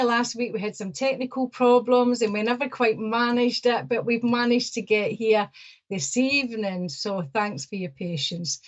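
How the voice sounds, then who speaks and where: A woman's voice: fairly high pitch, lo-fi sound. A middle-aged Scottish woman hosting a live psychic show, chatty and openly nervous. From Ayrshire, Scotland. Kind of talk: casual chat to viewers.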